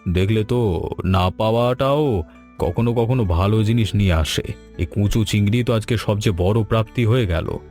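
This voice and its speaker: A man's voice: lowish voice, normal speed, darkish tone, faint music behind, natural voice. A man voicing a cheerful, appreciative family man in a cartoon story. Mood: contented, reflective. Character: cheerful, appreciative family man visiting his village home. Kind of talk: cartoon story dialogue in Bengali.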